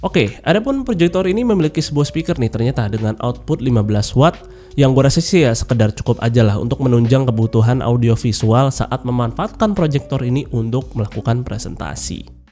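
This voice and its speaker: A young man narrates a tech review as a voice-over, sounding nasal and blocked up from a cold. His tone is dark and mellow and his manner chatty and loose. Faint music plays under him. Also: medium pitch, lively intonation, fairly quick. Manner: chatty and informal, with many filler particles. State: stuffy nose from a cold. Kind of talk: casual product review voice-over. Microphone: voice-over mic.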